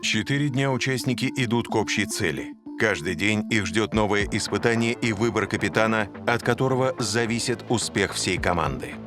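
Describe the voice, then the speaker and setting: Low-voiced male narrator over music. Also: moderate pace. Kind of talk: scripted dramatic narration. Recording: music under the voice. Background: clear music.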